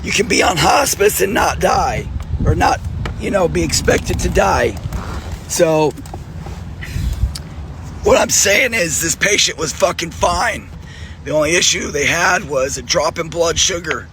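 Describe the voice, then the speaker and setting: A hoarse male nurse, losing his voice, giving an angry, profane video appeal and clearing his throat often. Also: a little high, lively intonation, unhurried, pauses often, fairly bright tone, a little hiss or hum. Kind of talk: direct-to-camera video appeal.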